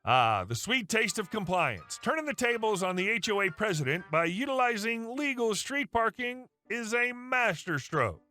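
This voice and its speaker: A man narrating online stories in a wry, animated scripted way. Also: sits high, normal speed, few pauses, no echo, faint music behind. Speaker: story narrator.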